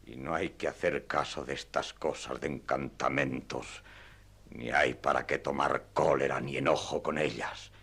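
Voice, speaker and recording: An actor playing a proud, battered old knight-errant in a radio drama, speaking in a pompous archaic way with a gravelly, husky voice. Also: male voice, rumbling low, bright, forceful tone, exaggerated voice. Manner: pompous, archaic, solemn delivery.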